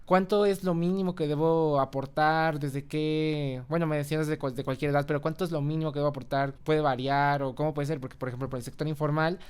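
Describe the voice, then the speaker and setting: A man's voice: a little high, steady tone, brisk, hardly any pauses, darkish tone, smooth. A Mexican man interviewing a guest, asking questions hesitantly with many fillers as he thinks aloud. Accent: Mexican Spanish.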